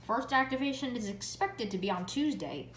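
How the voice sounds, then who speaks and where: A female-sounding hobby vlogger talks casually through a product review. The delivery is excitable and leans on repeated phrases for emphasis. The room is echoey, with faint noise behind the voice. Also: mid-range voice, normal speed. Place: indoor room at home. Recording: echoey room, background hiss.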